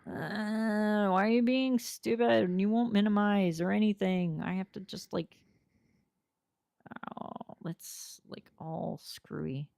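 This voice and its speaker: Woman, low and gravelly, hoarse from a long session; chatty, rambling gamer, recorded dry. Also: slow, warm tone, dry recording. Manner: rambling, talkative, self-deprecating. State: voice going hoarse after hours of talking. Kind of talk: casual chat while playing a game.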